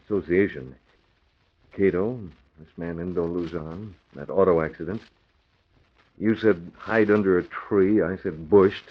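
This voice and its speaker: Deep, husky, earnest crimefighting hero, decisive, muffled vintage radio adventure. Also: male voice, unhurried. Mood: preoccupied. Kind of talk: 1940s radio adventure acting.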